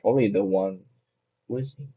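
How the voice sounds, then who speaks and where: A man hosting a casual livestream who chats loosely and is quick to apologize. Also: fairly low pitch, breathy edge, narrow, tinny audio. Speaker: broadcast host.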